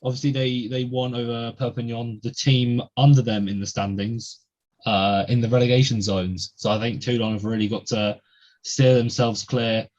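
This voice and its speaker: A man's voice: medium pitch, steady tone, moderate pace, darkish tone, highs cut off. A young man hosting a casual podcast, chatty and full of ums and uhs. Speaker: podcast host.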